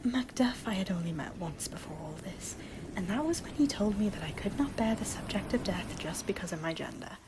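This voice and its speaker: A gentle middle-aged noblewoman, played by a woman, confides in a breathy half-whisper at a medium pitch. Her ASMR monologue is intimate and softly theatrical, with a wistful temper. Steady ambient noise is clearly heard behind her. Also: fairly quick, natural voice. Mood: nostalgic, confiding. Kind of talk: whispered ASMR comfort roleplay, scripted character monologue.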